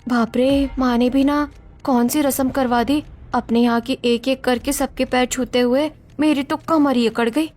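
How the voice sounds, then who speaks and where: A woman voicing an anxious, dutiful young bride in an earnest, expressive family drama story. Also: high voice, measured pace, soft background music. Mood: worried.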